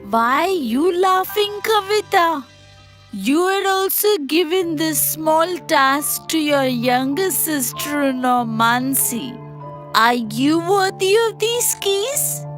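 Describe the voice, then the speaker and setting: A woman's voice: high voice, lively intonation, a bit bright, full character voice. A woman playing a bossy, stern older mother-in-law who scolds and demands in a cartoon moral story. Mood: stern, challenging. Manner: scolding, slow, deliberate delivery. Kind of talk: animated moral story dialogue. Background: music.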